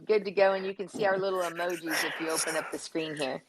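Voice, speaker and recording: Low-voiced American woman hosting. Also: steady tone, fast talker, noisy background. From United States, New Jersey. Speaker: host, student athlete advocate. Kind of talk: unscripted panel hosting.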